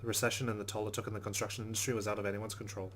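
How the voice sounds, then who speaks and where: A man's voice: lowish voice, level tone, racing, slight background noise. A man playing through a story game, reading its narration aloud with casual asides. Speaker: let's play host.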